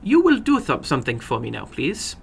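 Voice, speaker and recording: A man voicing an eager, animated little foreign gambler in halting, broken English for a dramatic horror story reading. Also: on the high side, gravelly, breathy edge, full character voice. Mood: busy, commanding.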